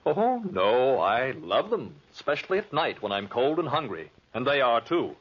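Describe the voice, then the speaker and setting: Wry, husky traveler Charles. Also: male voice, mid-range voice, big pitch swings, normal speed. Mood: sardonic, grumbling. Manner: dry, sardonic. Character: wry, grumbling married young traveler and narrator Charles. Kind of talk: 1940s radio drama acting. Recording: dull lo-fi 1940s radio recording.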